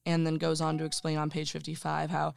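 Thoughtful book-talk host, gravelly edge, smooth tone, dry sound. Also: medium pitch, completely flat delivery, a little fast, no room sound. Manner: thoughtful, hesitant with many ums. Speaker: interviewer leading a book discussion. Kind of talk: casual conversation.

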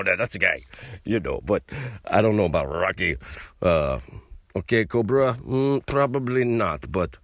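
A man's voice: lowish voice, fairly bright tone, dry recording. A man puts on a rambling mock-host who talks. He stumbles along with plenty of fillers, and the sound is dry and close. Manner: rambling, halting, many fillers. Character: mock Russian man hosting a comedy show. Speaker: comedy show host. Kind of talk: improvised comedy monologue.

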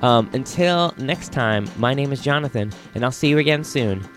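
A man's voice: mid-range voice, expressive, moderate pace, soft background music. A man hosting a podcast in a casual, enthusiastic, rambling style. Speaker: podcast host.

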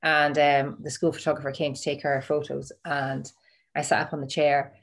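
Woman, very deep voice, podcast guest, casual chat, easygoing, self-conscious. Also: flat delivery, moderate pace, vocal fry.

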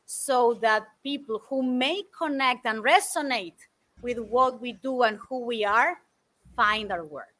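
A woman in her forties from Argentina, guest on an interview show, telling stories in an animated, thoughtful way with many fillers. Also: on the high side, measured pace, low-quality recording. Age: 40s. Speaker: guest speaker. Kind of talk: interview answers, storytelling.

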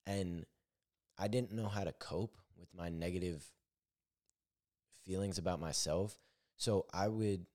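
Brief: young male podcast host, extremely low deep voice, candid rambling solo monologue. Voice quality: naturally deep voice. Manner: rambling, self-correcting, candid.

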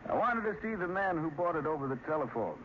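An actor playing a folksy, curious small-town sheriff in a 1950s radio drama, talking plainly. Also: male voice, on the high side, sing-song, quick pace. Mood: frank. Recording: noisy, dull 1950s radio recording.

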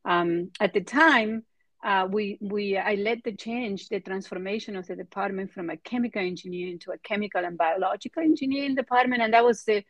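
Middle-aged woman, Argentine accent, native Spanish speaker, conversational interviewee, halting phrasing, very little room sound. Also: medium pitch, no echo. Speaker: interview guest, biotech executive.

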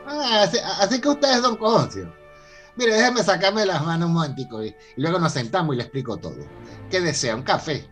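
A man's voice: a little high, big pitch swings, fairly quick, fairly bright tone, faint music behind. A man playing a polite, obliging barman in a radio drama. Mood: polite, eager.

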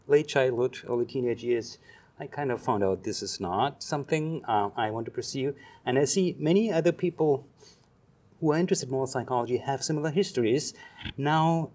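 A middle-aged man with a German accent talks through his ideas slowly, stopping often on "um" and "uh." His pitch sits at an ordinary middle level for a man, and he sounds like a reflective academic guest. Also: darkish tone. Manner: reflective, pausing with many ums and uhs. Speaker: guest, psychology professor. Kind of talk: thoughtful interview answers.

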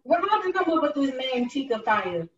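A woman co-hosting a podcast, asking the guest questions in a relaxed way. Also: high-pitched, a little fast, reverberant, recorded from a distance, highs cut off. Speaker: podcast co-host. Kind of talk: casual interview chat.

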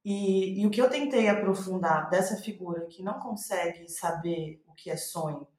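A Brazilian woman, a playwright in a reflective podcast interview, thinking aloud in a halting, thoughtful way. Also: medium pitch, darkish tone. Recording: roomy, echoing sound.

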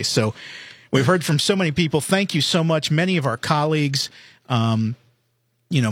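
A man's voice: mid-range voice, a bit bright, no room sound. A man hosting a live college radio show in an upbeat, chatty way with frequent fillers. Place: radio studio.

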